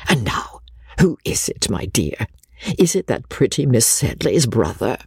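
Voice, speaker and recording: A woman's voice: a bass voice, big pitch swings, a little slow, vocal fry, a little mellow, husky, no room sound, clean studio sound, full character voice. A woman reading a storybook as an imperious, sentimental rich old lady, insistent, nosy and gushing. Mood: sentimental, coaxing.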